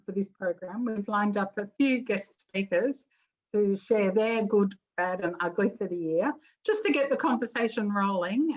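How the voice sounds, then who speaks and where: Host: woman, casual and chatty, mid pitch, muffled call audio. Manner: chatty, with many ums and restarts. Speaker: host of an online education session. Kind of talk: casual spoken introduction. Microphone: video call.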